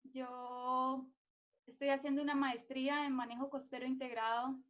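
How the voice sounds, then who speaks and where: A woman's voice: sits high, flat delivery, moderate pace, a bit bright. A young woman from Colombia asking a question on a video call, hesitant and searching for her words. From Colombia, Santander. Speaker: audience member asking a question. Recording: dull lo-fi call audio.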